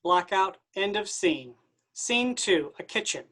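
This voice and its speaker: A woman's voice: medium pitch, lively intonation, takes it slowly, fairly bright tone. A woman reading scripted stage directions as the narrator of a staged play reading.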